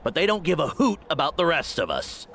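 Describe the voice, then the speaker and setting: A man's voice: fairly high pitch, huge pitch swings, fairly quick, gravelly, a loud crowd, heavily acted. An actor playing a bitter, mocking tribesman who talks in a sneering, sarcastic way. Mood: scornful.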